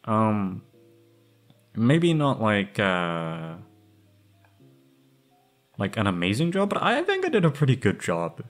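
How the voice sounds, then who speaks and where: A man's voice: fairly low pitch, huge pitch swings, slow pace, long pauses, soft background music. A young man, a voice actor, chatting casually to listeners in an effusive, sweary, slangy way. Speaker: voice actor, channel host. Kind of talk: casual outro chat.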